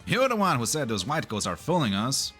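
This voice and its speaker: Guy doing a jumpy suspicious soldier in a game dub, sharp voice, really animated. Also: medium pitch, fairly quick, soft background music. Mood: agitated, suspicious. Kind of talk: amateur fan-dub game acting.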